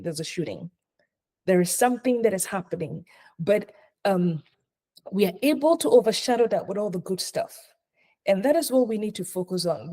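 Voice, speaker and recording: A middle-aged woman who grew up in Ghana speaks as a hospitality consultant in a live online session. Her voice is mellow and warm, and she sits at an ordinary pitch for a woman. She talks earnestly and circles back to repeat her points.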